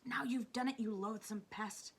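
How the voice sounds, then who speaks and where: A woman voicing a fearful, cross earthworm in a storybook, hushed and scolding. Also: fairly high pitch, steady tone, moderate pace, slightly rough. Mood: anxious scolding. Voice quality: hushed, whispery.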